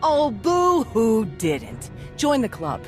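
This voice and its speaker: A woman's voice: a little high, huge pitch swings, takes it slowly, soft background music, exaggerated voice. A woman playing a cocky, sarcastic young thief who talks in brash slang, in a video game. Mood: mocking. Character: cocky, sharp-tongued young female thief, defiant and sarcastic.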